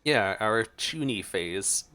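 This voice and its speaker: A man's voice: fairly low pitch, lively intonation, slow pace, sharp tone, smooth. A man giving casual gameplay commentary in a playful, gushing way. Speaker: streamer reacting to a game.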